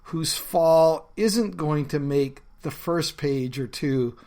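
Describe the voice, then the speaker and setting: A man hosting his own podcast as a pastor, talking in an earnest, conversational way with frequent fillers, his voice lowered by a bad cold. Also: takes it slowly, darkish tone. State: has a bad cold, voice lower than normal. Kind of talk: reflective solo podcast talk.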